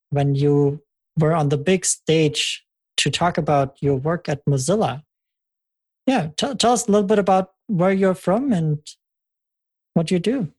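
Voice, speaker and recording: A podcast host leading a conversational interview, thanking the guest and asking questions with small halting restarts. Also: mid-range voice, darkish tone, slightly rough.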